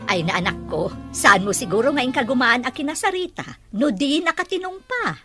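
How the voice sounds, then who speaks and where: A woman plays a mother in an audio drama, talking things over with her grown child. Her voice sits fairly high and moves up and down with a very animated melody, over light music. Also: a little fast. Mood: teasing, fond. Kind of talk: Ilocano audio drama acting.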